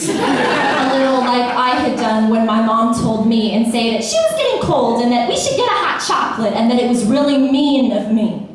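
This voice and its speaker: A woman's voice: expressive, moderate pace, hardly any pauses, background noise. A young American woman from Texas performing her own spoken word poems at an open mic, playful and wry. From Austin, Texas, USA. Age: young adult. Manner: playful, wry, with sudden pitch jumps and laughs. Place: small bar. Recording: echoey bar room, voice from far off.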